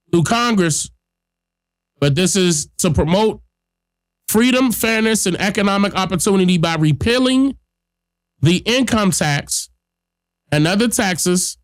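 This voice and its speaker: A man's voice: high voice, no room sound, clean studio sound. A man hosting a podcast, giving blunt, emphatic casual commentary straight to his listeners while getting over a cold that leaves him stuffy-nosed. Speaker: podcast host.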